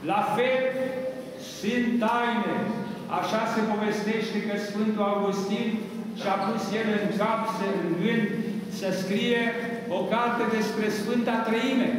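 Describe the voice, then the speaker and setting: A man in his sixties, an Orthodox priest from Romania, preaching a sermon in an emphatic, didactic manner with deliberate pauses. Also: high-pitched. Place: inside a church at the pulpit. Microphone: distant microphone in a reverberant church. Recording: echoey church, distant microphone, background noise.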